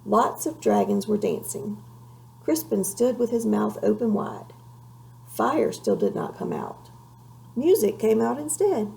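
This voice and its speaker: A woman reading a children's story aloud in an expressive, measured way, with a creaky voice. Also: mid-range voice, slight background noise, poor audio. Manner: measured, animated read-aloud delivery. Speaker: storybook reader.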